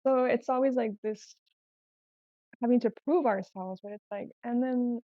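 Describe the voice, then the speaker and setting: A woman with a fairly high voice and Spanish as her first language chats candidly as a podcast guest. She tells personal stories in a casual way, acting out little quotes as she goes. The sound is a little dull. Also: normal speed. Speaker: podcast guest, small business owner. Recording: roomy, echoey, slightly dull sound.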